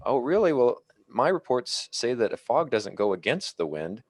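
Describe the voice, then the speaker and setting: A man's voice: medium pitch, very animated, moderate pace, a little mellow, dry recording. A man on a podcast panel chatting casually about films. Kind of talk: casual film discussion chat.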